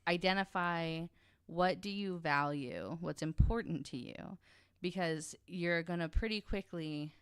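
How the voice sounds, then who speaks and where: A woman's voice: on the low side, unhurried, clear, resonant tone, no room sound, studio quality. A woman chatting casually as a podcast panelist, blunt and sweary, rambling a little and poking fun at herself.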